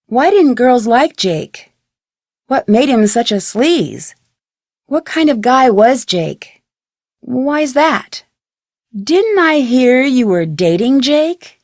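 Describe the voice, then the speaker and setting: A curious young female detective in a video game. Her voice sits on the high side and is recorded dry. She speaks in a friendly, inquisitive way, as if guiding the player. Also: a little mellow, dry recording, exaggerated voice. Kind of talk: scripted game dialogue and tutorial narration.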